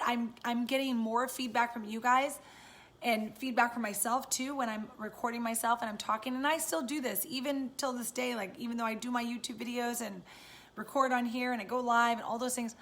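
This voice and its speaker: A woman with a raspy voice, chatting casually and encouragingly to viewers on a live stream from home. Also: a little high, fairly bright tone. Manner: chatty and encouraging, many fillers. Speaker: live-stream host and business coach.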